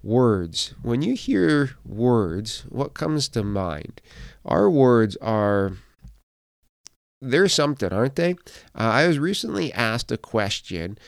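A man who pastors and hosts a podcast, speaking with a slight speech impediment, pausing often and repeating words as he talks through a devotional thought. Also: medium pitch, big pitch swings, vocal fry, no echo, studio quality. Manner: halting, many fillers and repeated words. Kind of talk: reflective devotional podcast talk.

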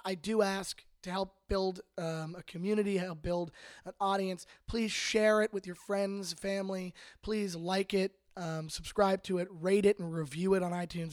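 A man's voice: high-pitched, dry recording, clean studio sound. A young man hosting a podcast, talking casually with lots of fillers and restarts. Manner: halting, many fillers and restarts. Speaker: podcast host.

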